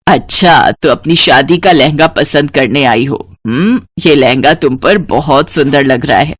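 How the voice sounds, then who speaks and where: A woman voicing a kindly, composed older rich lady in a moral story, gentle and courteous. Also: very deep voice, a little slow, husky, no echo, voice pushed down, heavily acted.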